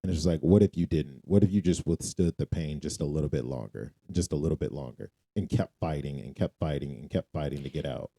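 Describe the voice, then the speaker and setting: Guy with a super deep dark voice, casual faith podcast chat, repeats stuff to drive it home. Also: a little fast, few pauses, no room sound. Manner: emphatic, repeats phrases for emphasis. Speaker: podcast co-host.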